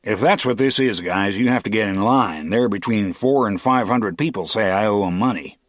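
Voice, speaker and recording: A man voicing a big, heavy-set kidnapped man who blusters and talks back, with a deep, loud voice. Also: normal speed, dry recording, studio quality, natural voice. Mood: outraged. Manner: indignant, sarcastic.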